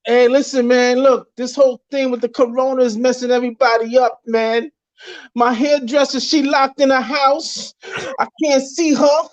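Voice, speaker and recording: A man's voice: extremely high pitch, flat delivery, moderate pace, no room sound. A man with a Trinidadian accent, chatting as a guest in a boisterous, slangy way. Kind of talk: casual chat. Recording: dull dry lo-fi sound.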